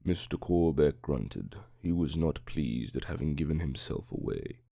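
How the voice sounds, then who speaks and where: A man's voice: very deep voice, expressive, unhurried, creaky, mellow, no room sound, studio quality. A man reading scripted fiction as an audiobook narrator, in a dramatic storytelling style.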